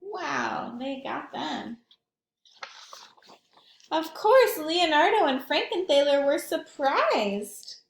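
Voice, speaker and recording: High-voiced, animated woman reading to children. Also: takes it slowly, creaky, long pauses, roomy sound. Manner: expressive, simple explanatory asides. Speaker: early childhood read-aloud storyteller. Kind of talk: picture-book read-aloud with asides to young children.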